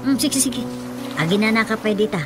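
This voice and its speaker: An actress playing a practical middle-aged wife in a radio drama, speaking with an Ilocano accent in a matter-of-fact, chatty way. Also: female voice, fairly high pitch, animated, brisk, lightly acted. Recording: music bed under the dialogue. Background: music.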